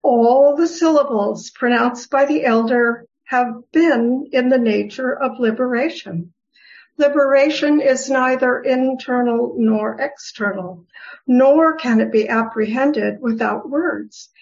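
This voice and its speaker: High-voiced, unhurried wise goddess played by a woman. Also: a little mellow. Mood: serene, instructive. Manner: deliberate, formal, instructive delivery. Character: serene, wise goddess, gently teasing a monk. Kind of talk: dramatic reading of Buddhist scripture. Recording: dull, lo-fi recording.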